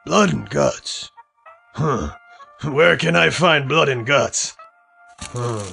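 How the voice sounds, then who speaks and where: A man playing a gruff, creepy small-town butcher in an audio drama, with a gravelly voice and slow, deliberate delivery. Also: medium pitch, pushed and bright, faint music behind, full character voice. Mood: slow, pondering. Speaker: voice actor. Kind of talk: amateur audio drama acting.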